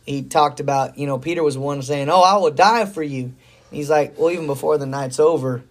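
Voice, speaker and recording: Hoarse man, folksy pastor chat. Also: on the high side, fairly quick. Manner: rambling, folksy, enthusiastic. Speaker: pastor and podcast co-host. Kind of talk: casual faith talk.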